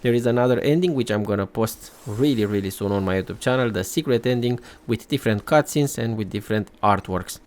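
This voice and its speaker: Man, low voice, YouTube host, casual gameplay commentary. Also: brisk, few pauses, a little mellow. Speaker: YouTuber, game channel host.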